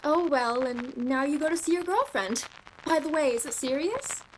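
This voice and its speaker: A woman acting in a radio play as a romantic, earnest young shop clerk, expressive and theatrical. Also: sits high, moderate pace, slight background noise, low-quality recording. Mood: confiding, earnest. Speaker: amateur actor.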